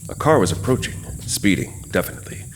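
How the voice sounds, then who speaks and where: Deep-voiced man narrating a horror story. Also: sing-song, noisy background. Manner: dramatic, measured storytelling with pauses. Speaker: host and narrator.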